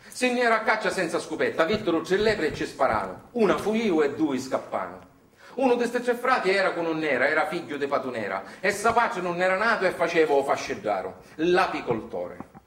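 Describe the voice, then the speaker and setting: A man's voice: a little high, a bit bright. A man playing a weary, patient country father telling his son tales in a theatrical monologue, with a Sicilian accent and folksy, rhythmic phrasing. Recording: roomy echo, distant microphone.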